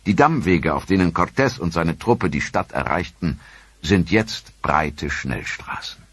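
A man narrating a history documentary in a grave, measured voice-over. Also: sits low, animated, husky, no room sound.

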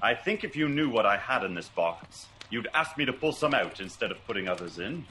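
An actor playing a wry, teasing gentleman detective in a radio drama, with a coaxing, theatrical delivery. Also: lowish voice, expressive, a little fast, a little hiss or hum, low-quality recording.

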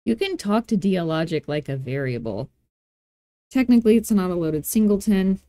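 A woman hosting a casual software tutorial, talking in an informal, chatty way with playful asides. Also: lowish voice, expressive, moderate pace, dry recording. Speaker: tutorial host.

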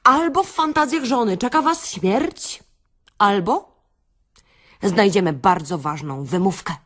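A woman playing a chatty, wry workshop speaker in a comic audio-play monologue, theatrical and confiding, with hesitant pauses and rhetorical questions. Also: medium pitch, animated, a bit bright.

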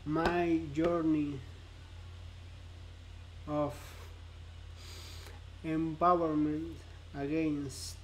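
Slow, halting young man with a stutter. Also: fairly high pitch, little pitch movement, a little hiss or hum, low-quality recording. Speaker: vlogger. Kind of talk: personal vlog to camera. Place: bright room indoors by a window.

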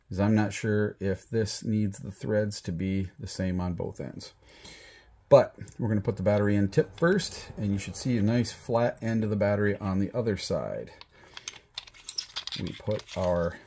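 Low-pitched American man, noisy recording. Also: a little mellow. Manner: plain-spoken, instructional. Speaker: product demonstrator and rock hunter. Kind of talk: casual hands-on product demonstration. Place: small indoor room at a table. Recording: clearly audible background noise.

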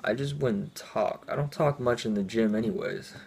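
A man's voice: lowish voice, normal speed, a little hiss or hum. A man in his twenties co-hosting a fitness channel, chatting casually and laughing often in a small room. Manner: relaxed, laughs often, reads out the questions. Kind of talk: casual chat. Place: small indoor room at home.

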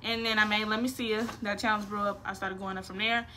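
Lively, bright-voiced young woman vlogging. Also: mid-range voice, fast talker, slight background noise. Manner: animated, slangy, playful, expressive hand gestures. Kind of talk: casual Q&A vlog. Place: small bedroom.

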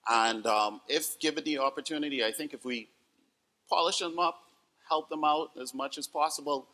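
A man's voice: a little high, normal speed, sharp tone. A Bahamian man speaking on a conference panel on stage, hesitant, with many fillers and repeated words. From Grand Bahama. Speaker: panelist, entrepreneur. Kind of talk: panel presentation.

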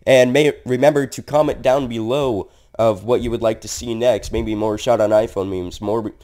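A man's voice: medium pitch, fairly even intonation, moderate pace, a little mellow. A young man signing off his reaction video in a friendly, rambling way, talking into a headset mic in his bedroom. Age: young adult. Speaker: YouTube reaction host. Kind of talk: casual video outro.

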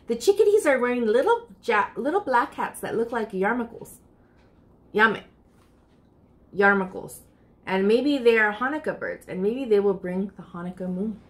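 High-voiced woman reading to children. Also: moderate pace. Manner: expressive, addresses children directly. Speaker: library storytime reader. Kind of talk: children's picture-book read-aloud. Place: furnished room indoors.

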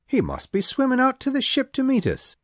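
A woman's voice: high-pitched, wildly swooping pitch, brisk, no room sound, clean studio sound, voice pushed up, full character voice. A woman voicing an eager, dim pirate sidekick who exclaims and questions in a puzzled way. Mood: eager, calling out.

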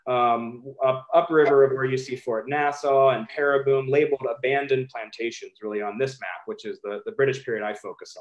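A man in his thirties with an American accent, giving a measured, emphatic academic talk over a video call, with occasional ums. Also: mid-range voice, low-quality recording. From United States. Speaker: historian presenting his book.

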